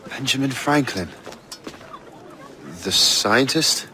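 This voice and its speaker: An actor playing a conflicted, earnest young renegade assassin in a game cutscene, with an Irish accent. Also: male voice, mid-range voice, slow pace, a little hiss or hum. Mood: troubled, questioning. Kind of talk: dramatic video game cutscene acting. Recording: loud cinematic game music behind.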